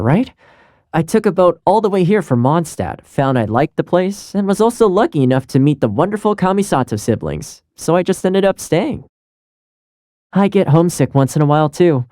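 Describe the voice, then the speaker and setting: Game role: friendly young male retainer. Loyal, easygoing, earnest; animated intonation, soft-edged mellow timbre. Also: on the high side, no room sound, studio quality. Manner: chatty, earnest, conversational with light chuckles. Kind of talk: video game character voice lines.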